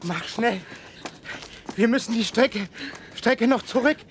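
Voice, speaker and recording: A man's voice: extremely high pitch, slow pace. An actor playing an anxious middle-aged father stranded after a rocket crash, urgent and agitated, in a radio drama. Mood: urgent, desperate. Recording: noisy old radio play with outdoor ambience.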